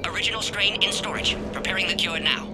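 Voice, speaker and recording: An actor playing a brisk male alien scientist in a video game, talking in clipped phrases that drop small words. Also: high-pitched, heavy background noise, lightly acted. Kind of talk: video game cutscene acting. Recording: loud game score underneath.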